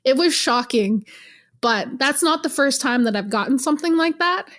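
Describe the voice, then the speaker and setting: A young woman from Canada, a guest in an interview, telling stories in a lively, teasing way and laughing often. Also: high voice, normal speed. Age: young adult. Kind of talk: casual interview.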